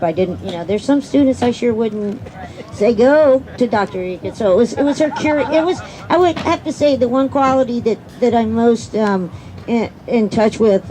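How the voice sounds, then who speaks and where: A middle-aged woman with a mellow, relaxed voice of average pitch. She speaks as a university teacher giving an unscripted answer, measured and explanatory. The setting is outdoors. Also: low-quality recording. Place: outdoors at a campground.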